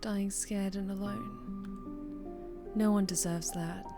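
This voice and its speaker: A woman's voice: medium pitch, steady tone, slow pace, vocal fry, music in the background. A woman reacting to a game and reading along as she plays. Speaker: streamer playing and reacting to a visual novel.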